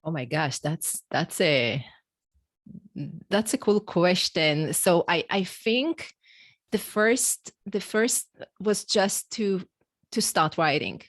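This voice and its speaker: Woman, Israeli accent, native Hebrew speaker, slightly low voice, dry sound, reflective, candid, conversational interview guest. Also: expressive, slow pace, dry recording. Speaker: podcast guest, executive coach and author. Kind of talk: conversational interview, personal storytelling.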